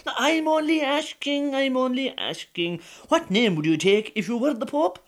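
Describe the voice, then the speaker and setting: A man's voice: very high-pitched, expressive, moderate pace, voice pushed up. A man with an Irish accent voicing an ambitious peasant wife who coaxes and wheedles her husband. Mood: scheming. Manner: coaxing, wheedling. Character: scheming ambitious peasant wife, middle-aged woman.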